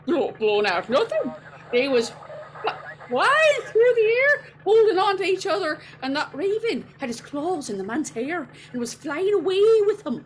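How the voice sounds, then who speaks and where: Stammering, high-pitched, excitable eyewitness. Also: female voice, normal speed, a little hiss or hum, low-quality recording. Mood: excited rambling. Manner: stammering, folksy dialect. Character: rambling, excitable, folksy eyewitness telling a tall tale. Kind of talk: 1940s radio comic character acting.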